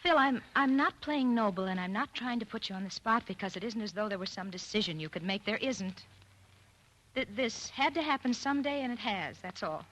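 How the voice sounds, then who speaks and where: An actress playing a heartbroken but resolute woman in an old radio drama, earnest and halting. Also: on the high side, expressive, fairly bright tone, rough recording. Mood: pained, resolute. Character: heartbroken, resolute woman ending a secret love affair. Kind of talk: 1940s-50s radio drama acting.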